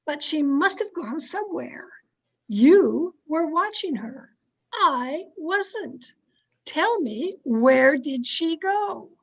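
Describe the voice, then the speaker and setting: A woman acting a domineering, fearful, haunted wife in an old-time radio thriller, with an imperious, dramatic delivery full of halting dread. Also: high-pitched, expressive, gravelly, exaggerated voice. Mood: imperious, suspicious.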